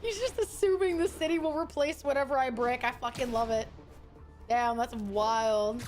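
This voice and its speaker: A young woman streaming a game, chatting casually with viewers, excitable and swearing freely as she plays. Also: a little high, moderate pace, faint music behind. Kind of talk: casual gameplay commentary.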